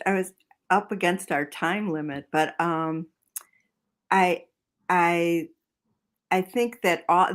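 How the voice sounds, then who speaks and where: A woman moderating a book talk as interviewer, conversational, with tentative phrasing. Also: on the low side. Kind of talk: casual interview.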